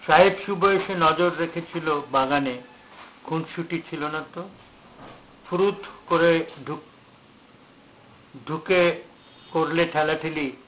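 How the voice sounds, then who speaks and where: Guy reciting his poem real slow, measured n declamatory, muffled lo-fi recording w a bit of noise. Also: fairly high pitch. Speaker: poet reciting own poem. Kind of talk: poetry recitation. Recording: dull lo-fi, faint background noise.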